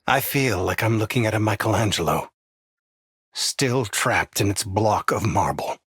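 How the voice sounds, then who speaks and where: An actor playing an intense, manipulative mentor, theatrical and condescending, with a husky voice, in dramatic villain voicelines. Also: male voice, fairly low pitch, unhurried, fairly bright tone, no room sound, studio quality, heavily acted. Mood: urgent, demanding. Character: intense, demanding male mentor, manipulative and passive-aggressive.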